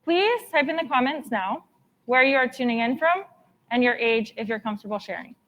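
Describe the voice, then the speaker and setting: Upbeat woman host, higher voice. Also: animated, a little fast, fairly bright tone, muffled recording. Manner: upbeat, organized. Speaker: event co-host. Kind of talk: live event hosting.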